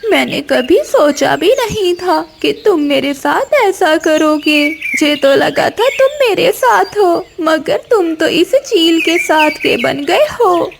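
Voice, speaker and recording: A woman's voice: extremely high pitch, animated, takes it slowly, gravelly, hardly any pauses, noisy background, voice pushed up, heavily acted. A woman voicing a female crow, a pleading and reproachful wife in a children's cartoon. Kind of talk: children's cartoon story dialogue.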